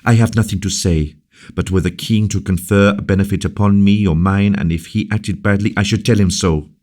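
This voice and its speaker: A man's voice: on the low side, fairly even intonation, fairly quick, darkish tone, voice pushed up, natural voice. A man reading a novel aloud, voicing a young noble lady at court who pleads with fervent, principled conviction in a raised voice. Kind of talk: audiobook character reading.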